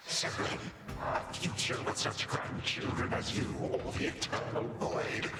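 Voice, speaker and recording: An actor playing an ancient, bitter Titan grandfather in a video game, with a breathy hiss and grandiose, archaic, sardonic delivery. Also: unhurried, natural voice. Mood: scornful, bitter. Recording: loud music behind, echoing room. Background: loud game music.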